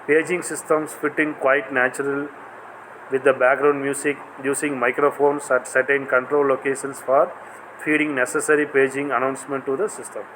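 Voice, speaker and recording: A man's voice: mid-range voice, level tone, normal speed, fairly bright tone, a little hiss or hum, rough recording. A man with a South Indian accent giving a scripted technical lecture in a formal, read-aloud way. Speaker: assistant professor giving a video lecture.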